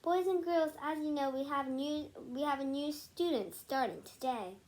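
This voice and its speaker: A woman's voice: high voice, normal speed, a little mellow, voice pushed up, close to an everyday voice. A woman reading aloud the part of a kind, patient schoolteacher who encourages and explains things to her class. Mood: calling the class. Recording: noisy home recording.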